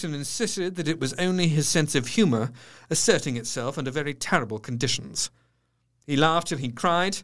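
Casting: thoughtful, loyal doctor, lightish higher voice, measured diary narration for radio drama. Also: male voice, fairly bright tone. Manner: measured, reflective. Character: thoughtful, sober English doctor, loyal and observant.

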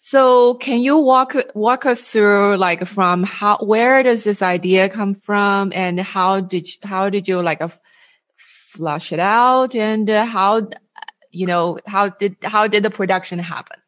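A woman interviewing a guest in a casual way, halting and rephrasing her questions as she goes. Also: mid-range voice, a bit bright.

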